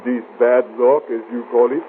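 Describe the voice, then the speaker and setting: An actor playing a grave, cautious male friend in an old-time radio drama, formal and measured as he warns. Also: mid-range voice, fairly even intonation, slight background noise, natural voice. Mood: solemn warning. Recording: tinny old radio recording.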